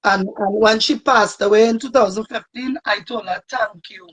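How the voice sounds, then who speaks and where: Husky Trinidadian woman, reflective. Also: fairly high pitch, fairly even intonation, normal speed, fairly bright tone, poor audio. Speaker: soca singer guest. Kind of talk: casual interview chat.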